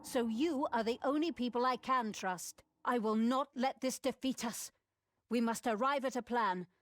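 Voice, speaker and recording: A woman's voice: fairly high pitch, normal speed, no room sound, natural voice. A woman voicing a resolute, caring abbess in a video game, speaking with grave authority. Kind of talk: scripted video game voice acting.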